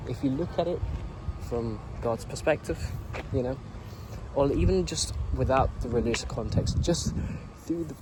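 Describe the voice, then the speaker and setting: A man's voice: medium pitch, normal speed, background noise. A man in his late teens, a YouTuber, talking through a rambling, earnest reflection and swearing casually.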